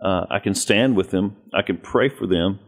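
Male co-host, low voice, hesitant, thoughtful. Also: normal speed. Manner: hesitant, many fillers, thoughtful.

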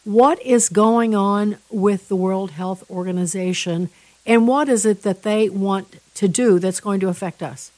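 A woman hosting an interview show, chatting with her guest in a halting way, full of restarts. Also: medium pitch, darkish tone, dry recording. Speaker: interview host.

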